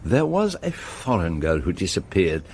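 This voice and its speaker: Middle-aged Belgian detective, French accent, formal and probing, very lively pitch, radio drama, faint noise. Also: male voice. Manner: formal, precise diction, measured questioning. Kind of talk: radio play acting.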